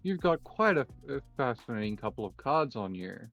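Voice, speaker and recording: A man playing a polite, measured rival duelist in an improvised anime-style card game roleplay, pausing between phrases. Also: medium pitch, sing-song, fairly bright tone, lo-fi sound, faint music behind. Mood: composed, intrigued.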